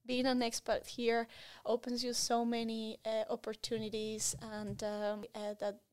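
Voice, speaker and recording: Higher-voiced woman with Latin American Spanish accent. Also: completely flat delivery, unhurried, a little mellow, smooth. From Colombia and Spain. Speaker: interview guest. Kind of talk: conversational interview answers.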